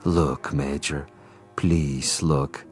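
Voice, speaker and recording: A man's voice: a bass voice, fairly even intonation, drawn-out words, faint music behind, heavily acted. An actor playing an urbane, sadistic assassin who taunts his prey in formal, precise, theatrical phrases in a suspense radio drama. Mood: taunting.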